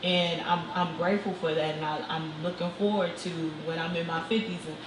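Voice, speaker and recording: A woman in her forties talks earnestly, in a slightly low voice. She reflects on things in a casual chat and laughs easily. The room is echoey, with clear noise behind her. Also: steady tone, brisk. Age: 40s. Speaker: guest.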